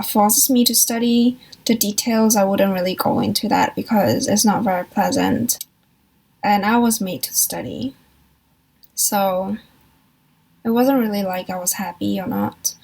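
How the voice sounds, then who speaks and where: A young woman from Hong Kong, a university student, talking softly and hesitantly in a personal confessional vlog, pausing and restarting as she reflects. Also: mid-range voice, creaky, low-quality recording. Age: young adult. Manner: soft, hesitant, reflective, with frequent pauses and restarts. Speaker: vlogger.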